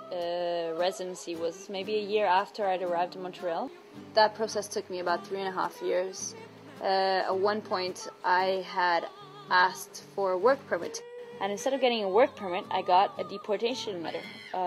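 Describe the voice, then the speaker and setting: A woman from Chile, a theatre artist, talking in an earnest, thoughtful interview with many pauses. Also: medium pitch, poor audio. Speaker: theatre artist interviewed about her play. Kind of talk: reflective personal interview.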